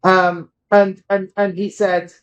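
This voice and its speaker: A man, a company CEO, answering interview questions in a thoughtful, halting way and often restarting his phrases. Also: high-pitched, level tone, fairly bright tone, smooth. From Britain. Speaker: company CEO, podcast guest.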